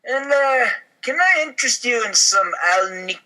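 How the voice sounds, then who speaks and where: A man's voice: very high-pitched, lively intonation, normal speed, bright, forceful tone, voice pushed up, heavily acted, rough recording. A man voicing an overly polite, eager restaurant waiter, gushing and fussy, in a comedy script.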